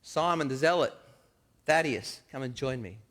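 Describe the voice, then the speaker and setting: A man speaking as a gentle, patient teacher. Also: mid-range voice, expressive, moderate pace, big-room sound, natural voice. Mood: summoning. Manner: soft, patient, reassuring. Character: calm gentle man calling followers. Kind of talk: dramatic first-person monologue.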